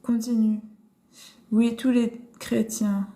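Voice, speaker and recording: Woman host, live-stream Bible chat, mellow tone, halting and searching, echoey room. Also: on the high side, level tone. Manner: thinks aloud with many restarts and fillers. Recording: roomy echo.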